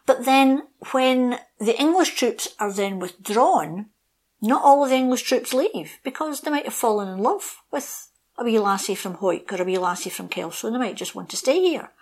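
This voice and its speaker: A woman's voice: mid-range voice, slightly rough. Chatty Scottish-born woman storyteller. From Scotland. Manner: animated storytelling with frequent ums and you-knows. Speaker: podcast co-host. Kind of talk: casual conversational chat.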